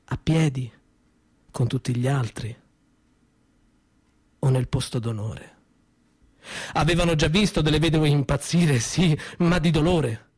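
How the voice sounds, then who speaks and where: A male actor telling a tragic story in a solemn, measured and dramatic solo radio-theatre reading. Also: a little high, a little mellow, breathy edge. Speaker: stage actor and author.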